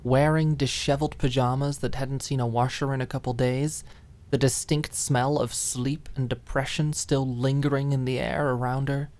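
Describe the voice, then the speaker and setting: A woman's voice: extremely low pitch, flat delivery, normal speed, a little mellow. A woman reading scripted dramatic narration as an audiobook narrator.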